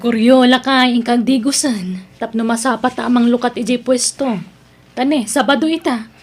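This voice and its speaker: A gentle, coaxing middle-aged wife played by a woman in an Ilocano audio drama. Her voice sits a little high, and she speaks warmly to her husband with an Ilocano accent. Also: moderate pace, soft background music, close to an everyday voice.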